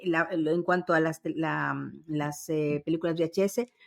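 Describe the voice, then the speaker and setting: A middle-aged woman chatting nostalgically as a podcast co-host, telling stories in an animated way and acting out little dialogues. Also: sits low, normal speed, creaky. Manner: animated storytelling, acts out small dialogues. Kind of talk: casual nostalgic chat.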